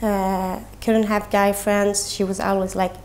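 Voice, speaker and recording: A young woman from Sweden, talking candidly as an interview guest, pausing often as she searches for words. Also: mid-range voice, level tone, a little mellow, a little hiss or hum. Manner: halting, with frequent pauses and "like". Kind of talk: candid personal conversation.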